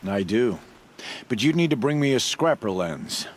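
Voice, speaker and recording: A man's voice: medium pitch, very animated, moderate pace. A man playing a cranky, wry village trader in a video game, with a sardonic, grumbling, offhand manner. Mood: grudging, wry. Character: cranky, wry male village trader dealing illicitly with outcasts. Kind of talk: video game cutscene acting.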